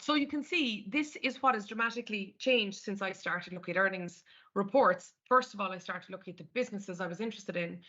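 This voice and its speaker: Bright-voiced Irish woman presenting a webinar. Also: medium pitch. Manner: conversational, walks through screen step by step. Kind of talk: live market analysis presentation. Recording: dull lo-fi webinar audio.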